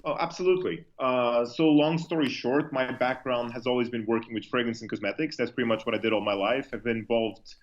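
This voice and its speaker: This is a man from Portugal giving conversational answers as a business guest in an interview. His voice is mid-pitched. The recording is somewhat dull and lo-fi. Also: fairly even intonation, fairly quick, hardly any pauses. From Lisbon, Portugal. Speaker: company co-founder, interview guest. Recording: dull, lo-fi sound.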